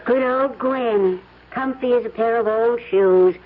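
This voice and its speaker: An actress playing a wry, fed-up mistress in 1970s radio drama style, pointed and sardonic. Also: female voice, on the high side, unhurried, lightly acted. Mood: sarcastic, wounded. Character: wry, fed-up mistress demanding all or nothing. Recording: tinny narrow-band old radio.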